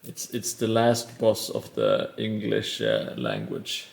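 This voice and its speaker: A man's voice: fairly low pitch, very animated, creaky, slight background noise. A man with a Norwegian accent chatting casually on a live stream while he builds, rambling and poking fun at himself. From Norway. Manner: rambling and self-mocking, with frequent pauses.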